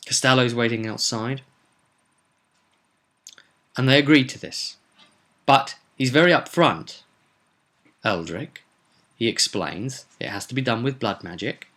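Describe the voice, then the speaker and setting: A man narrating a tabletop roleplay recap as game master, theatrical and emphatic, with deliberate pauses. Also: mid-range voice, bright, forceful tone. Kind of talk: dramatic storytelling recap.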